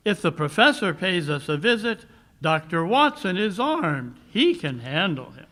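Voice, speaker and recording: A man acting a calm, commanding master detective in a 1940s-style radio drama, crisp and dismissive. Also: high-pitched, a little slow, exaggerated voice.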